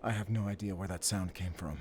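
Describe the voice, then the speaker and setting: A man's voice: low voice, steady tone, a little mellow, close to an everyday voice. A man playing a wounded, stoic werewolf hunter who speaks in terse, strained phrases in a cinematic audio drama. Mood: wary, puzzled. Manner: terse, halting, strained delivery. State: hurt, weakened. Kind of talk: cinematic audio roleplay acting.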